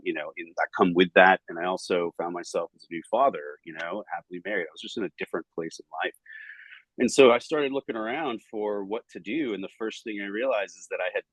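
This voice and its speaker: A man in his forties with a Southern Californian accent, answering interview questions in an energetic, rambling way full of fillers. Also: low voice, slightly rough, no room sound, poor audio. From United States. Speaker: podcast guest, startup banking director.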